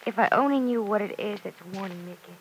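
An actress playing an earnest, self-doubting young woman in an old-time radio serial, confiding in a hesitant, soft-hearted way. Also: medium pitch, quick pace, noisy background, natural voice. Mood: resolved yet uneasy. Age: young adult.

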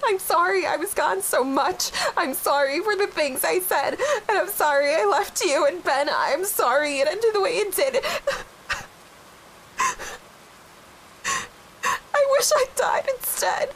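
A woman acting a grieving, guilt-ridden young widowed mother in an audio drama, halting and emotionally raw. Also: very high-pitched, lively intonation, a bit bright, exaggerated voice, low-quality recording. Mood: anguished, tearful. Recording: clear background hiss.

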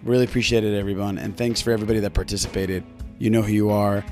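Guy podcast host, bit low voice, thankful tone, soft music under it. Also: fairly even intonation, racing. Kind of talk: podcast sign-off narration. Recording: dry, close, faint music behind. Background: faint music.

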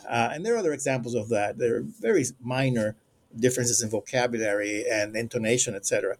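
A middle-aged man, a scholar, answering interview questions in a measured, halting way with frequent filler sounds. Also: medium pitch. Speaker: guest scholar, co-editor.

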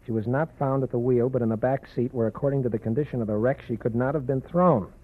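A man voices an earnest, worried young man from a small town, husky and pleading in tone. It comes through a tinny old radio broadcast. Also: mid-range voice, fast, natural voice. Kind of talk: 1940s radio acting.

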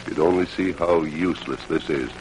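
An actor playing a persuasive army captain pleading earnestly with a prisoner, in old-time radio drama style. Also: male voice, extremely low pitch, moderate pace, creaky. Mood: persuading, pleading. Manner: urgent, imploring, earnest. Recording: noisy vintage radio drama recording.

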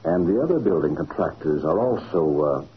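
An actor playing a sly, smug crooked contractor with oily courtesy, in old-time radio drama style. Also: male voice, low voice, lively intonation, normal speed. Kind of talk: 1940s radio acting. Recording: dull, noisy old radio recording.

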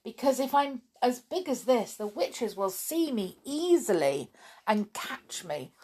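A young storybook schoolboy voiced by a woman, earnest and kind. The voice is on the high side and lively, with a wondering tone. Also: unhurried, slightly rough, heavily acted. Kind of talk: children's storybook character voice.

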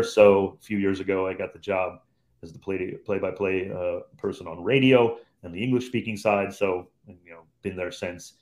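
Low-voiced man in his fifties reminiscing. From Cleveland, Ohio, USA. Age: 50s. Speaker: radio play-by-play commentator, podcast guest. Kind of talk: relaxed storytelling in conversation.

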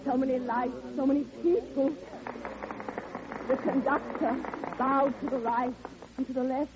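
An actress playing a lonely, lovestruck older Russian widow in an old radio drama, theatrical and yearning, with halting repetitions. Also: female voice, sits high, fairly even intonation, background noise. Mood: rapturous. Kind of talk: classic radio drama monologue. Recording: muffled old radio recording, music behind.

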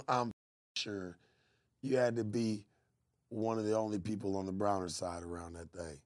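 A man's voice: lowish voice, steady tone, unhurried, dry recording, clean studio sound. A man hosting a casual interview, asking blunt, slangy, prodding questions. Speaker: interview host.

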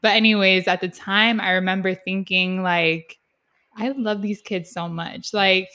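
A woman's voice: medium pitch, fairly even intonation, a little slow, pushed and bright, clean tone. A woman host from San Diego in a casual interview, chatty and laughing through her own anecdotes. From San Diego, USA. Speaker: podcast host.

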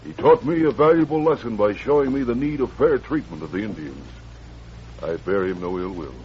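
A man's voice: fairly low pitch, lively intonation, fairly quick, lightly acted. An oratorical, earnest congressman of the Old West, acted by a man with very varied intonation. He speaks formally and a little pompously. The vintage radio recording is dull, with faint noise. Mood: earnest, decisive. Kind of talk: old-time radio western acting.

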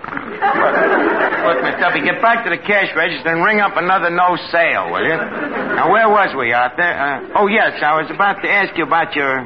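An actor playing a streetwise, wisecracking tavern manager with airs, in a New York accent, in an old radio comedy. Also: male voice, fairly high pitch, expressive, moderate pace, over a noisy crowd, lightly acted. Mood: breezy wisecracking. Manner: wisecracking, mock-refined, ungrammatical. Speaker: host. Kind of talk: 1940s-50s radio comedy acting. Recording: dull, very noisy vintage radio.

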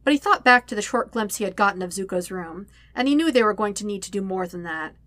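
A woman narrates fiction in an expressive audiobook style. Her voice is bright and mid-pitched, and the sound is very dry, with no room echo. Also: fast talker. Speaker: podfic reader. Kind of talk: expressive scripted story narration.

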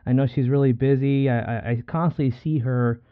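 A man's voice: mid-range voice, fairly even intonation, fairly quick. A man hosting a podcast in casual, slangy, upbeat chat, welcoming people as he talks. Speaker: podcast host. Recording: phone-like, narrow-band podcast call.

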